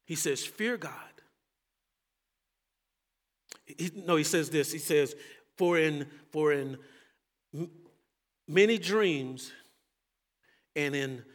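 A Texan man preaching a sermon as pastor, moving between loud, fervent calls and quiet, low asides. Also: big-room sound.

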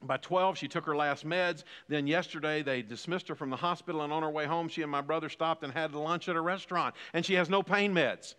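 A man's voice: a little high, a little fast, hardly any pauses, bright, forceful tone, clear, resonant tone, in a large hall. A man in his fifties preaching a sermon as pastor, building emphasis through repeated phrases. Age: 50s. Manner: preaching with rising emphatic repetition.

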